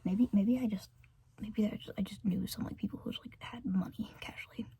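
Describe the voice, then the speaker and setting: A woman's voice: mid-range voice, fairly even intonation, a little fast, husky, no room sound. Whispering American woman, rambling ASMR. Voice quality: soft unvoiced whisper. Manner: meandering, filler-heavy, giggly chatter. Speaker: ASMR creator.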